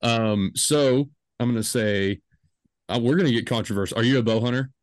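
A man's voice: mid-range voice, normal speed, dry recording, studio quality. A man in his twenties hosting a podcast, chatting casually and rambling with lots of fillers and jokes. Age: 20s. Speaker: podcast host.

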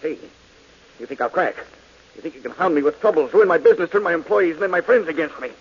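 A man's voice: on the high side, expressive, quick pace, husky. A man playing a bitter, defensive murder suspect who turns to threats in an old radio drama. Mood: menacing. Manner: defensive, rising to angry threats. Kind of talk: 1940s radio acting. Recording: dull old radio recording with hiss.

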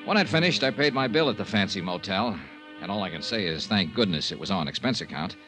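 An actor playing a confident, wisecracking insurance investigator in a 1950s American radio drama, brisk and wry. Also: male voice, low voice, expressive, poor audio. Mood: businesslike. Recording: 1950s radio broadcast with music.

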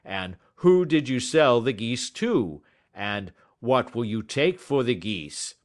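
A man voicing a belligerent, gruff poultry salesman who talks loud and combative in an audiobook story. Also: medium pitch, big pitch swings, slow, pitched above the performer's own voice.

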